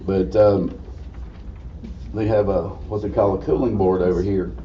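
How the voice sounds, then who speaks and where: Low raspy man, distant and muffled. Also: fairly even intonation, measured pace, mellow. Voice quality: slightly raspy. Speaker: guest lecturer. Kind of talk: informal history lecture. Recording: distant mic, dull muffled sound, faint noise.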